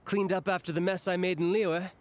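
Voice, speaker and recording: Man voicing a breezy, higher-pitched young warrior-diplomat. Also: level tone, brisk, lightly acted. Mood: reassuring. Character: easygoing young warrior turned diplomat, protective brother. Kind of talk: anime game voice acting. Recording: music behind the voice.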